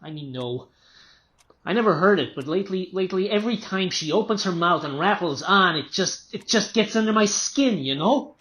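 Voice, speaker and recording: An actor in a radio play playing a tense, unravelling writer and father, agitated, irritable and repeating himself haltingly. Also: high voice, expressive, fairly bright tone.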